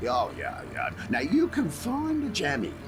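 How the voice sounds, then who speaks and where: A man voicing a wheedling, shifty pawnshop dealer in a cartoon game, effusive and stammering with theatrical flattery. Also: high-pitched, a little slow, music in the background, heavily acted. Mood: flustered, sly. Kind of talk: scripted cartoon game acting.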